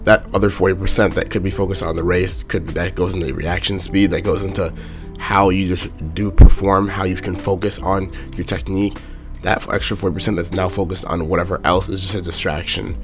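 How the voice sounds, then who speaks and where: A young man with a sore throat hosting a motivational talk, speaking in a hoarse, raspy, monotone voice and repeating his main point in plain words. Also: low-pitched, a little fast, music in the background. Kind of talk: casual motivational monologue.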